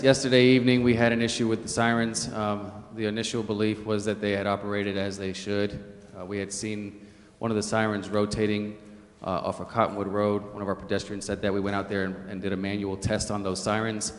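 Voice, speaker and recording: A man's voice: lowish voice, flat delivery, fairly quick, few pauses. A man giving an informal briefing as a city official at a public meeting. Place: large indoor council chamber. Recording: very echoey hall sound.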